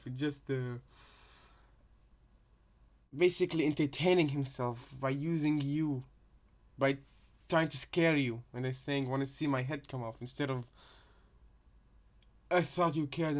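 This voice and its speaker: A man casually commenting on game voice lines as a co-host, rambling and circling back over his words in a halting way. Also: mid-range voice, steady tone. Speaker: reaction video co-host.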